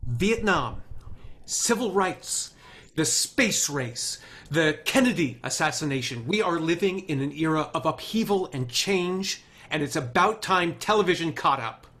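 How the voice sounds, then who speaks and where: An actor playing an earnest, driven male TV writer, speaking with deliberate emphasis in a screenplay table read. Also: a little high, lively intonation, pushed and bright.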